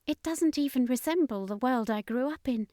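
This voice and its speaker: A woman's voice: high-pitched, moderate pace, dark tone, no echo, studio quality, pitched above the performer's own voice, close to an everyday voice. A woman voicing a dismayed alien civilian woman in an audiobook, anguished and disbelieving. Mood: shocked grief. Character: dismayed alien civilian woman mourning her ruined world.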